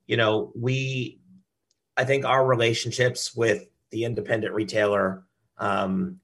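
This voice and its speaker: A man with a low, husky voice answers as a guest in a business interview. His speech is conversational and a little halting, with repeated words, and carries an audible creak. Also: sharp tone. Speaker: brand director, interview guest.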